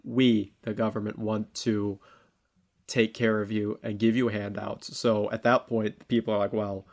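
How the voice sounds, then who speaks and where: A man's voice: on the low side, flat delivery, measured pace, a little mellow. A man co-hosting a talk show, discussing issues casually in colloquial language and voicing imagined quotes.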